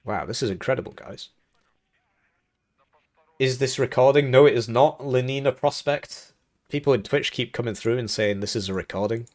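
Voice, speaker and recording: A man's voice: medium pitch, normal speed, pauses often, darkish tone. A young man hosting a live game tournament stream, talking casually with jokes and chuckles while commentating the match. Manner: rambling, joking, chuckling between remarks. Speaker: tournament host and caster.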